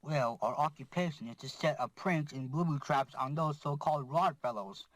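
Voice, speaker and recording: A man's voice: fairly high pitch, moderate pace, no room sound, lightly acted. A man voicing a young male prankster rabbit in an amateur cartoon, eager and plotting. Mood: scheming.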